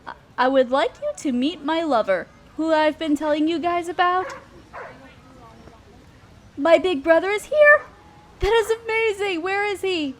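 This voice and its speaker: Sweet high-voiced girlfriend, acted by a woman. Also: heavily acted. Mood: cheerful, excited. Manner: sweet, soothing, tender. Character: affectionate, reassuring girlfriend bringing partner to meet family. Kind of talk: roleplay audio acting, addressing the listener as partner. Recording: noisy park and fireworks effects. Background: park sounds, fireworks.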